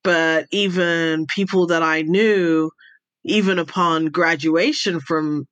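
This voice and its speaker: A woman's voice: sits low, steady tone, takes it slowly, a bit bright, no echo. A middle-aged woman with a blended British and American accent, telling her story casually and chattily as a podcast guest, often drifting into asides. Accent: mixed British and American. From raised between the Middle East, Europe and the United States. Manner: chatty, digressive. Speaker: podcast guest, intelligence advisor and speaker.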